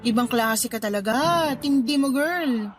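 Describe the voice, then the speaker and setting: Scolding, high-pitched young Filipina. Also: female voice, expressive, unhurried, faint music behind. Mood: exasperated. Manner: scolding, sarcastic, slangy. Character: exasperated, scolding young woman, sarcastic best friend. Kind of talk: Filipino radio drama acting.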